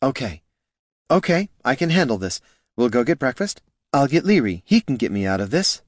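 Brief: man doing a lifted, lively faded heroine, casual and wry, dry booth sound. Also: mid-range voice, normal speed, no echo, pitched above the performer's own voice. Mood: breezy. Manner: breezy, offhand.

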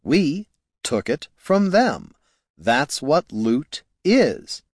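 Bored professional warrior, dry and unhurried, a touch above the narrator's pitch, read by a man. Close, dry sound. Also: no room sound, exaggerated voice. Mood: deadpan. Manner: deadpan, slow and matter-of-fact. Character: pot-bellied mercenary warrior, dry and unbothered. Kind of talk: audiobook character voice.

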